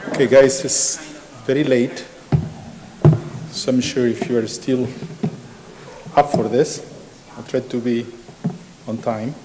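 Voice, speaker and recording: A man's voice: mid-range voice, steady tone, noisy background. Argentine-accented man lecturing in a hall. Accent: Argentine Spanish. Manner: measured, with frequent uh fillers. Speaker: architecture professor presenting research. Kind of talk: academic conference talk. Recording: reverberant hall.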